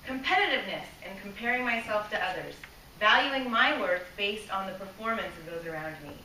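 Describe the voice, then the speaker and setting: A woman's voice: medium pitch, expressive, fairly quick, sharp tone. A woman giving a prepared speech to a live audience, earnest and direct. She asks the room questions and sometimes loses her place and starts over. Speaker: club speaker. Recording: echoey room from a distance, faint hiss.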